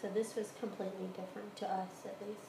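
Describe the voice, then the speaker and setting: An American woman speaks casually as an interviewee, recounting things from her own life. Her pitch sits in the middle of the range. The recording is made in a home, with a roomy echo and clear background noise. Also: level tone, fast. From Houston area, Texas, United States. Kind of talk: casual interview answers, recounting personal experience. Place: room in a home.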